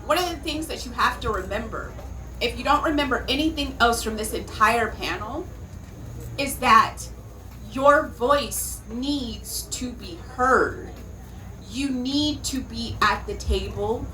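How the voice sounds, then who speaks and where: Bright-voiced American woman addressing a panel. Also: fairly high pitch, slow, a little hiss or hum, rough recording. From California, United States. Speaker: panel speaker. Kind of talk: public panel speech.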